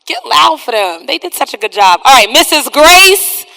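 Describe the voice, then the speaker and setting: A woman's voice: high-pitched, very animated, normal speed, creaky, poor audio. A woman hosting a stage show, projecting to the audience as she announces the cast. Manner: projected, hyping up the crowd. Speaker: stage host presenting the cast. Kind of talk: curtain-call announcing to an audience. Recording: live stage sound with loud music.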